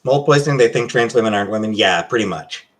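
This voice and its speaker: A man with a Canadian accent chatting casually on a livestream as he answers questions, halting and hesitant, often trailing off. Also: on the low side, animated. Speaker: livestream host.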